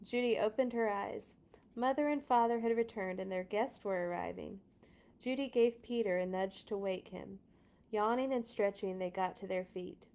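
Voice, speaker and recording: A woman with a medium-pitched voice hosts a read-along for children. She reads in an expressive storybook style, stressing key words with drama and pausing for effect, and keeps a casual touch.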